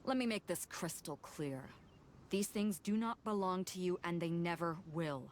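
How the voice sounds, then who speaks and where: An actress playing a tough, blunt ship captain in a video game, speaking with unflappable authority. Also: female voice, lowish voice, moderate pace, slight background noise, lightly acted. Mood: firm threat.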